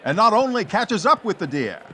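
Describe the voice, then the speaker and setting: A man's voice: sits high, sing-song, a little fast, heavy background noise. A man narrating dramatically in the scripted style of a 1940s radio serial, theatrical and suspenseful.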